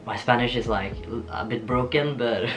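A young man from Norway with a Norwegian accent, chatting casually to camera in a small home studio, laughing often and pausing with ums. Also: mid-range voice. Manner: relaxed, giggly, lots of ums and restarts. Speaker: vlogger. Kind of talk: casual get-to-know-me chat. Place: small bedroom studio with shelves and posters. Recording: soft guitar music underneath.

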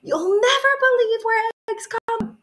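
A woman's voice: extremely high pitch, measured pace, a bit bright, pitched above the performer's own voice. A young woman voicing an eager, earnest little girl in a children's storybook read-aloud. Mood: excited. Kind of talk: children's storybook character reading.

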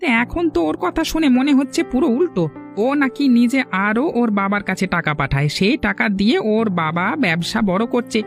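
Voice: mid-range voice, lively intonation, fairly quick, faint music behind, natural voice. A performer voicing a suspicious, prying young male college student in a cartoon.

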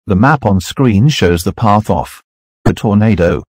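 Low, husky synthetic male announcer. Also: expressive, unhurried, a little mellow, no room sound. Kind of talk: scripted emergency broadcast announcement.

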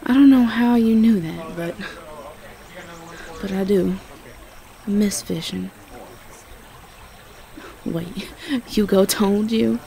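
A woman playing a tender, easily overwhelmed Southern belle girlfriend in a roleplay audio drama, with a Southern US accent and a halting, breathy delivery. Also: mid-range voice. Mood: fond. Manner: soft, halting, repeats words.

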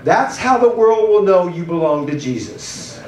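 Animated middle-aged pastor preaching in a roomy hall. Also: male voice, sits high, measured pace, very noisy. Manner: animated preaching, gesturing and pacing the stage. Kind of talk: sermon. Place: church hall stage. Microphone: handheld mic. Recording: roomy hall sound from a distant camera.

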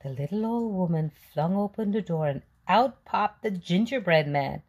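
A woman narrating a children's picture book as a read-aloud storyteller, in an expressive, deliberate manner. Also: on the low side, breathy edge.

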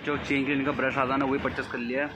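Talk to the phone like a young man showing friends his bike service, quick, loose and chatty. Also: medium pitch, level tone, background noise. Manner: chatty, calls viewers "bhai" constantly. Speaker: motovlogger. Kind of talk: casual vlog narration to camera. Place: bike service shop, outdoors. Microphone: phone camera.

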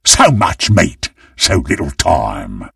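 A man voicing a greedy, gleeful, monstrous undead butcher in a video game, with a gravelly, husky British-accented voice and a menacing, theatrical manner. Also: extremely low pitch, big pitch swings, slow, a bit bright, no room sound, clean studio sound, far from the mic, full character voice. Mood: gloating relish. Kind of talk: video game character voice lines.

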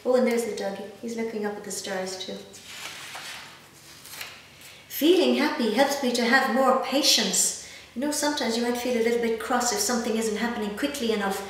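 A woman's voice: on the high side, a little fast. A woman in her fifties with an Irish accent reads a picture book aloud to children, expressively, with chatty asides and questions. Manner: animated, gently expressive, addressing young listeners with questions. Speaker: guest storyteller. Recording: echoey room, distant mic, faint noise.

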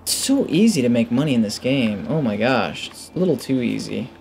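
A man's voice: medium pitch, animated, fairly quick, creaky, a little mellow, a little hiss or hum. A man in his thirties giving casual gaming commentary into a headset, musing to himself as he plays. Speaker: gaming video host. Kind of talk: casual let's play commentary, reading game text aloud.

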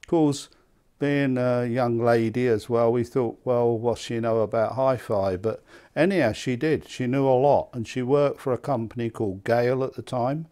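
A man in his seventies with an English accent, reminiscing conversationally in an interview, halting and repeating words. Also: mid-range voice, mellow. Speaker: loudspeaker maker being interviewed. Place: small indoor hi-fi demonstration room. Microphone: lapel mic.

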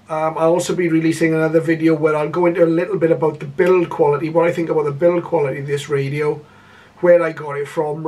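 Older male hobbyist presenter, measured and hesitant, even pitch, recorded from a short distance. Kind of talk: casual video introduction. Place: indoor room full of radio equipment. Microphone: camera a short distance away. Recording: slightly distant camera microphone.

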